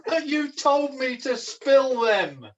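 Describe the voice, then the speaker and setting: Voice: high voice, big pitch swings, takes it slowly, lo-fi sound, exaggerated voice. An amateur actor playing a melancholy, gullible pantomime jester with a theatrical, declamatory delivery, recorded at home over a video call. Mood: puzzled. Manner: theatrical, declamatory, archaic wording.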